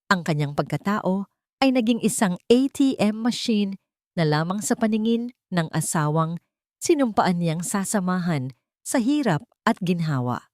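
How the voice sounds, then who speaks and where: Lowish-voiced woman narrating drama. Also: lively intonation, a little slow, darkish tone, dry recording, studio quality. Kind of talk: dramatic scripted story narration.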